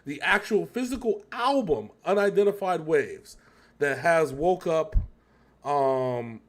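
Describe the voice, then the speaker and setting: A man's voice: on the high side, animated, slow. A man hosting a casual music reaction chat, rambling and often correcting himself as he thinks aloud. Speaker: reaction show host.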